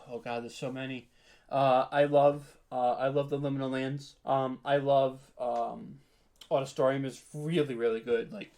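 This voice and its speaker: A man's voice: mid-range voice, a little mellow. A man being interviewed as a podcast creator, chatting casually in an informal, slangy, rambling way. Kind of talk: casual chat.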